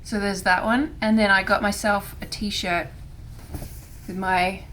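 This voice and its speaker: A woman hosting her own crafting vlog in casual chat, her voice hoarse and raspy from throat irritation, talking softly and haltingly with frequent restarts. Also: medium pitch, flat delivery, a little hiss or hum. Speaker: cross-stitch vlogger.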